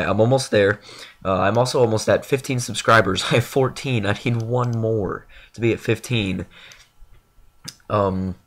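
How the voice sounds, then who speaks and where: A man's voice: on the low side, fairly bright tone. Stuffed-up young man rambling over gameplay. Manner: rambling, filler-heavy, self-deprecating. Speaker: gaming YouTuber. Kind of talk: casual channel update over gameplay.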